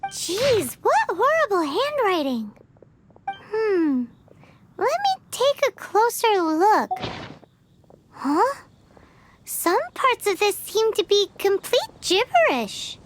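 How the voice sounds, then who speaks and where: A woman voicing a tiny, excitable childlike fairy girl in a video game, squeaky and chirpy. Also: takes it slowly, long pauses, a little hiss or hum, exaggerated voice. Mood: curious, chatty.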